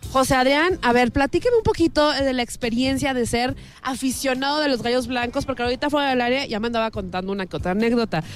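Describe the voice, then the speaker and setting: A woman's voice: high-pitched, fast talker, faint music behind. A woman with a Mexican accent hosting casual on-location interviews with fans in a playful, teasing, chatty way. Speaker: radio promotion host interviewing football fans.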